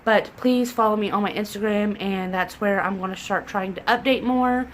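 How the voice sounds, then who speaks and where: A woman's voice: medium pitch, normal speed, slight background noise. A young woman from southern Indiana, congested, chatting casually in a rambling, self-deprecating way to a camera in her living room. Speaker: pregnancy vlogger. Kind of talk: casual vlog chat. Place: living room at home, indoors. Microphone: camera in front at desk distance.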